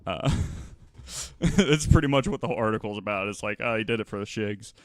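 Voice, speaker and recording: Male podcast panelist, low-pitched, dry close sound. Informal storyteller with a halting, conversational delivery. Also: expressive, no echo. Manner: halting, informal, repeats words.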